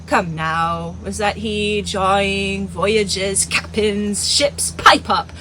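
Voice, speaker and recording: A woman reading an audiobook, voicing a crafty, blustering one-legged male pirate cook with loud sea-dog talk. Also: mid-range voice, lively intonation, measured pace, a little hiss or hum, poor audio.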